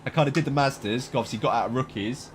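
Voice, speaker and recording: A man's voice: mid-range voice, fast, slight background noise. A man chatting casually while sim racing with teammates, a bit stuffy from hay fever, rambling and laughing easily.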